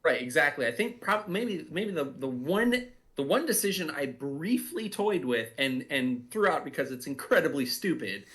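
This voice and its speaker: A man's voice: a little high, sing-song, moderate pace, few pauses, a bit bright. Rambling man thinking aloud in casual commentary. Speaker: audio drama writer and director. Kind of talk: casual group commentary discussion.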